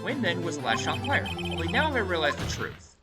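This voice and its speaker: A woman voicing an earnest young male defense lawyer in a video game, emphatic and argumentative, over loud game music. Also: lowish voice, big pitch swings, fairly quick, recorded from a distance. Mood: assertive.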